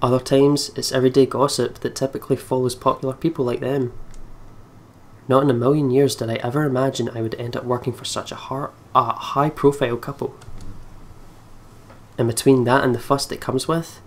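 A man's voice: mid-range voice, level tone, fairly quick. A man reading visual novel narration aloud for a let's play.

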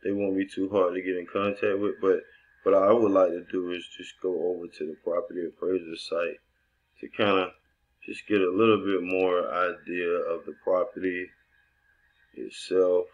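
A man with a very deep voice walks through his screen in a relaxed tutorial. He talks loosely, thinking aloud and restarting sentences as he goes, as if coaching his assistants. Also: moderate pace, lo-fi sound. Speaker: real estate investor training assistants. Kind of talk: screen-recorded tutorial walkthrough.

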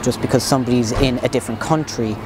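A teenage Irish schoolboy speaking thoughtfully in an interview. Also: male voice, medium pitch, steady tone, fast, a crowd in the background. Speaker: secondary school student.

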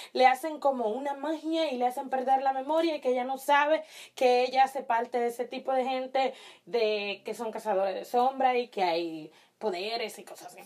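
A young woman from the Dominican Republic, raised in Italy, speaking Spanish with a mixed accent, chatting to camera in a small bedroom, often pausing to search for words. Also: high-pitched, a little mellow. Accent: Dominican Spanish with Italian influence. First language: Italian. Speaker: vlogger. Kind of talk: casual opinion chat to camera. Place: small bedroom with string lights.

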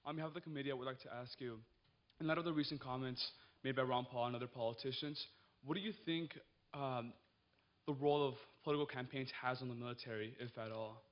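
A man's voice: mid-range voice, steady tone, quick pace, muffled recording. A young man, a student politely putting a question from an audience microphone. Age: young adult. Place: indoor forum audience. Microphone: stand microphone.

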